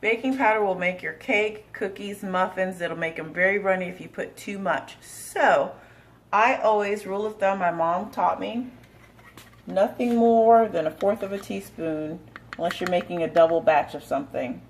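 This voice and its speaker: Relaxed woman demonstrating a recipe in her kitchen, loose and chatty, recorded from a distance. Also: medium pitch, moderate pace, echoey room. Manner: chatty and relaxed, with filler words. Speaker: home cooking demonstrator. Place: home kitchen.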